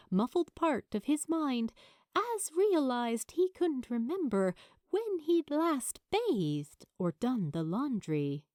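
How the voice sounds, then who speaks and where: Woman reading an audiobook, unhurried, warm dark tone, pitch moves around a lot, dramatic pauses. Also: no room sound, clean studio sound. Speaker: fan fiction narrator. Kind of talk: expressive scripted audiobook narration.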